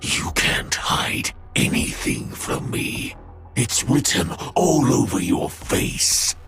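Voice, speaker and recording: An actor playing a menacing machine villain in a video game, mocking and condescending toward humans. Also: male voice, fairly low pitch, sing-song, slow pace, creaky, a bit bright, soft background music, exaggerated voice. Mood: taunting menace. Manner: taunting, condescending. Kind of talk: dramatic video game voice acting.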